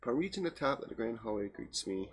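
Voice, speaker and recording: A man's voice: medium pitch, very animated, fast. A man reading a game's text aloud as a let's play host, stumbling here and there and adding casual asides. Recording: very noisy home recording.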